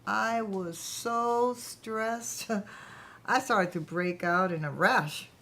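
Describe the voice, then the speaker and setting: A woman's voice: mid-range voice, drawn-out words, fairly bright tone, a little hiss or hum. A woman playing a proud, ambitious, chatty founder of her own company in a staged play reading. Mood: proud, reminiscing.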